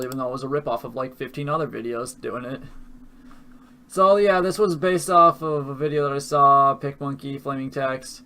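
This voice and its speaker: A man's voice: on the high side, bright, forceful tone. A young man casually commenting with profanity, mocking his own old videos.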